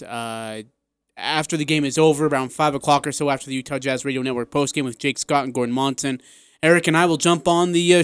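Clear-voiced American sports radio host, man. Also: fairly high pitch, no echo. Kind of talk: sports talk radio chat.

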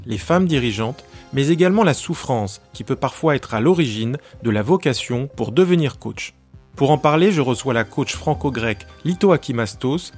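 A man hosting an interview podcast, presenting his guest and putting questions. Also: on the high side. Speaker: podcast host, professional coach. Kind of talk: scripted introduction and interview questions.

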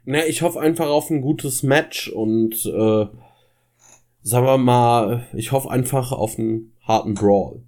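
A man's voice: mid-range voice, a little slow, darkish tone. A man co-hosting a casual wrestling podcast, hesitant and stumbling, often correcting himself. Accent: German. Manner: hesitant, self-correcting, stumbles over names. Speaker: podcast co-host.

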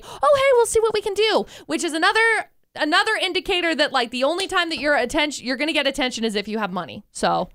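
Female co-host, high-pitched, teasing and informal, very dry close studio sound. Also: fairly bright tone, clear, resonant tone, no echo. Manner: playful, teasing. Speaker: radio show co-host. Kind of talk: casual banter.

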